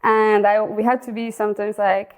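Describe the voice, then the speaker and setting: A young woman speaking English with a Mexican accent, Spanish being her first language. She talks in an even, narrow pitch band, pausing and restarting phrases as she tells of herself as a guest. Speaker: guest, outdoor content creator. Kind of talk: casual interview talk.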